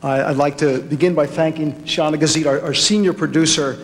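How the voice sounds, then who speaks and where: A man with a fairly high voice gives an acceptance speech in a big hall with long reverb. His delivery is a little halting, with words repeated as he goes. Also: steady tone. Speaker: documentary producer accepting an award. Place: large hall at an awards ceremony.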